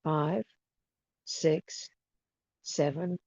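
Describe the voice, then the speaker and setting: A person leading a guided imagery meditation in a soothing manner, with long pauses between short phrases. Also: medium pitch, fairly even intonation, a little mellow, no echo. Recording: dull, dry lo-fi recording.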